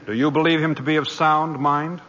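An actor playing a confident, courteous defense lawyer in 1940s radio style. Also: male voice, on the high side, fairly even intonation, fairly quick, sharp tone, highs cut off. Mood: composed, assured. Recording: noisy vintage radio recording.

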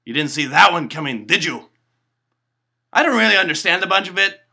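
A man doing a raspy dim puppet host. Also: sits high, animated, fairly quick, sharp tone, exaggerated voice. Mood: deadpan. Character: naive self-important male puppet host, cheerfully dim. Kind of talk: comedic puppet show monologue. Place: indoor bedroom.

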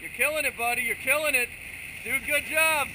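Man, very high excited voice, cheering, outdoor boat deck, engine and wind noise. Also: brisk. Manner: shouts and whoops with excitement. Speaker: angler filming a fishing trip. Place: open deck of a fishing boat at sea. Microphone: action camera mounted on the boat.

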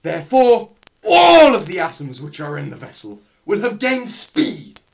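Slow, theatrical young man with swooping pitch. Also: wildly swooping pitch, gravelly. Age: teens to young adult. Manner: theatrical, emphatic, with deliberate pauses. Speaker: presenter. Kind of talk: dramatised physics lecture read from a book. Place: small bare room indoors. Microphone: camera a short distance away.

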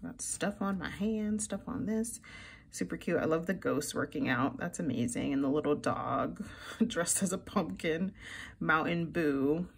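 A woman's voice: low-pitched, unhurried, creaky, a little hiss or hum. A young woman chatting casually through a sticker haul at her desk, gushing and giggly, her voice a little froggy from the early morning. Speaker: planner vlogger.

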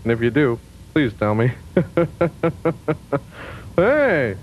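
An actor playing a vain, indignant little male robot on a comedy show, blustering and smooth-talking. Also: medium pitch, wildly swooping pitch, slow, faint music behind. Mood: flirty. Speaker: show regular. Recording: dull lo-fi TV recording with faint hiss.

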